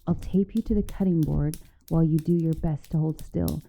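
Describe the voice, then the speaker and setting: A woman's voice: low voice, fairly even intonation, normal speed, gravelly, mellow, a little hiss or hum. A woman voicing a vengeful, teasing young giantess in an ASMR roleplay monologue, mocking and menacing in a playful, theatrical way. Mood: gloating, threatening. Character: gloating, teasing vengeful young giantess taunting shrunken captive.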